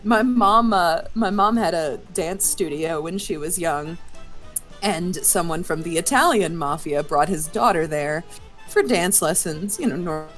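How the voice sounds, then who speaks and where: A woman's voice: lowish voice, unhurried, a bit bright, faint music behind, low-quality recording. A woman telling stories and jokes in a casual group stream chat. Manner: joking, giggly storyteller. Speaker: guest cast member.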